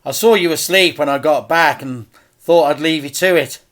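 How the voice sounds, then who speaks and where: A man's voice: fairly high pitch, normal speed, fairly bright tone, voice pushed up, lightly acted. A man voicing an anxious, hapless but loving dad in a children's storybook, earnest and hesitant. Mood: sheepish.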